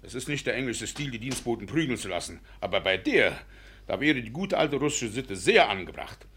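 An actor playing a middle-aged landowner father in a radio comedy, blustering and sentimental, with a raspy, spluttering delivery. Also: mid-range voice, sing-song, brisk, fairly bright tone, slight background noise. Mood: indignant, blustering. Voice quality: raspy, creaky. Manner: spluttering, wheedling, theatrically exasperated. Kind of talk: German radio comedy acting.

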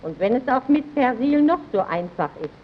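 An actress playing a proud, chatty, assured housewife who gives advice in a scripted vintage radio advert. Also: female voice, fairly high pitch, very animated, normal speed, slight background noise. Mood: self-assured. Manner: assured, didactic. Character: proud, chatty housewife and mother praising detergent. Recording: dull early radio recording.

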